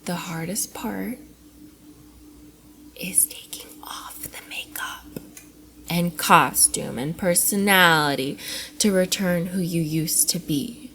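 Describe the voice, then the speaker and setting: A woman playing a flustered, affectionate young cosplayer in an intimate ASMR roleplay, coy and giggly, often dropping into soft breathy murmurs. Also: lowish voice, slow pace, long pauses, a little hiss or hum, full character voice. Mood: chatty, wistful. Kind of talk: ASMR roleplay, intimate one-sided dialogue to the listener.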